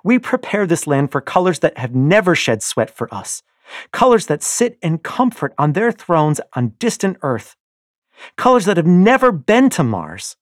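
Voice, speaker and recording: A man voicing a passionate young rebel wife, speaking urgently and pleadingly with a breathy tone. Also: on the high side, sing-song, a little slow, no echo, clean studio sound, pitched above the performer's own voice. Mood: impassioned.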